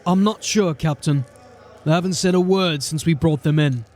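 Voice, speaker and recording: Male actor as a blunt, jaded city-watch sergeant, plainspoken, slightly high voice, audio drama. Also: moderate pace, close to an everyday voice. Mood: steady, grim. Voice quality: plain-spoken, grim and steady.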